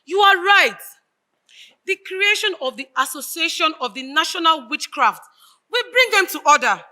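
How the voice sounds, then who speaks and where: A woman with a Nigerian accent playing a grieving, idealistic reformer in a live stage drama, declaiming in measured oratorical phrases. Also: very high voice, very animated, sharp tone, exaggerated voice. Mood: rousing.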